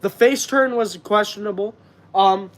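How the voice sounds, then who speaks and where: Young guy host, high pitched, congested voice, earnest rambling stream chat. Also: a little slow, a bit bright. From Canada. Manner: earnest, rambling, many fillers. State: stuffed up. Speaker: wrestling channel host. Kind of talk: casual live-stream chat.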